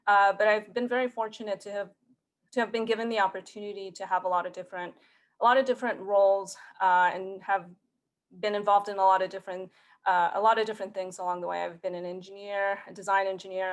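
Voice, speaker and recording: A woman from Mexico whose first language is Spanish, giving a conference career talk in an earnest, halting way with many fillers and restarts. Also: medium pitch. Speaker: conference speaker.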